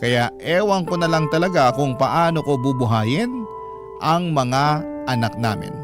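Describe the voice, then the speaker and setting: A man's voice: fairly high pitch, a little slow. A man with a Filipino accent narrating a heartfelt first-person story as a radio drama host reading a listener's letter. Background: music under the voice.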